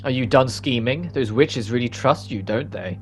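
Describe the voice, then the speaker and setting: A man's voice: mid-range voice, moderate pace, lightly acted. A man voicing a haughty, sly female knight commander in a game cutscene, with a condescending, taunting manner. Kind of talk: reading game cutscene dialogue.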